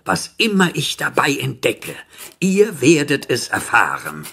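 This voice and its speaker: An actor playing a sinister, imperious merchant-alchemist in a radio drama, dictating formally and deliberately. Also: male voice, mid-range voice, wildly swooping pitch, gravelly, fairly bright tone, slightly rough, exaggerated voice. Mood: secretive, calculating.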